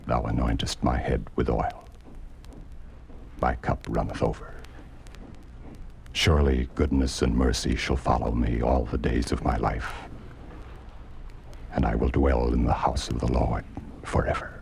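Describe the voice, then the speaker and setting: An American actor playing a calm, devout reverend in a 1950s radio drama, speaking solemnly and gently. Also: male voice, extremely low pitch, vocal fry, heavily acted. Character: calm, devout reverend comforting a dying friend. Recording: noisy old 1950s radio recording.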